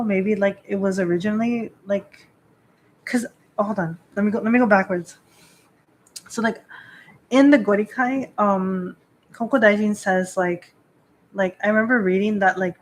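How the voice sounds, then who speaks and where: A woman's voice: mid-range voice, poor audio. Chatty woman live-streaming, faint hiss. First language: not Japanese. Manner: rambling, lots of "like" fillers, laughs often. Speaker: Shinto priestess hosting a live stream. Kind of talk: casual live-stream chat.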